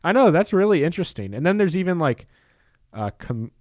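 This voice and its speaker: A man's voice: high voice, sing-song, a little fast, darkish tone, no echo, clean studio sound. A young man co-hosting a podcast in casual chat, laughing often as he reads out lists and adds asides. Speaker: podcast co-host.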